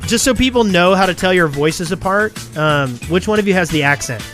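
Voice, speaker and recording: Man, podcast host, higher pitch, jokey, teasing, casual chat. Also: lively intonation, brisk, a bit bright, faint music behind.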